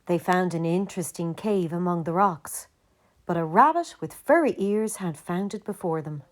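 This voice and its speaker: A woman narrating a children's picture book aloud in a gentle, deliberate manner. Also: fairly low pitch, animated.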